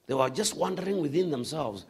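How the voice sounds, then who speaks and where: Animated gravelly male preacher. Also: a little high, brisk. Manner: animated preaching, swinging from shouts to hushed asides. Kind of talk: sermon.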